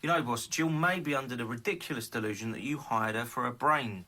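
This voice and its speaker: A man's voice: medium pitch, fairly quick, close to an everyday voice. Cheeky wisecracking man, acted by a man; mid-range voice with noise behind him, for radio drama. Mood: dry. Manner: cheeky and sardonic. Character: wisecracking male crew member. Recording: noisy background.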